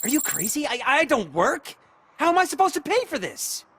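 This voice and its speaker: A cocky but frightened boy punk for a video game. His voice is extremely high and jumps around in pitch. He stammers and whines defensively. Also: big pitch swings, normal speed, pushed and bright, heavily acted. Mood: panicked outrage. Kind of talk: video game cutscene acting.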